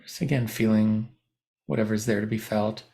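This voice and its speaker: Male meditation teacher, lowish gravelly register, gentle and slow to move on, instructing with spacious pauses. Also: fairly even intonation. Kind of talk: guided body scan meditation.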